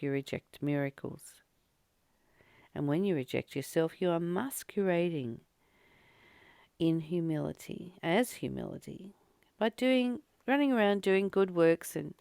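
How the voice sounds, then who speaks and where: A woman reads and reflects on spiritual text at a very slow, deliberate pace. Her voice sits a little low, with plenty of fry in it. She phrases things in measured pieces, as a host guiding a daily study. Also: expressive, a little mellow, no room sound, clean studio sound. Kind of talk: reading a spiritual text aloud with commentary.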